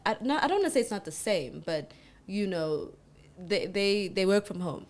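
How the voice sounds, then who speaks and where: A woman's voice: mid-range voice, big pitch swings, moderate pace, a little mellow. A young woman co-hosting a podcast, talking in a chatty, rambling way with many restarts. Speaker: podcast co-host. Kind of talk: casual chat.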